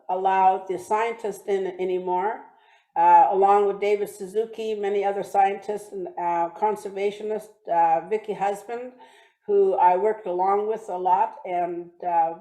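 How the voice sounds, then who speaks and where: A woman from British Columbia giving a talk with slides, speaking haltingly with many restarts and fillers. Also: mid-range voice, fairly even intonation, dark tone, echoey room. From British Columbia, Canada. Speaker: guest speaker. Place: church hall or online meeting.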